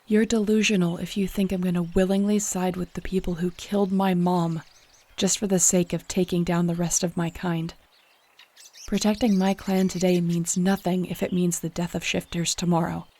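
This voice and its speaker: Girl acting as young shifter heroine, warm dark voice, defiant n earnest, fantasy audio roleplay. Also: fairly low pitch, flat delivery, moderate pace. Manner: earnest, indignant, firm. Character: defiant, principled young female shapeshifter heroine.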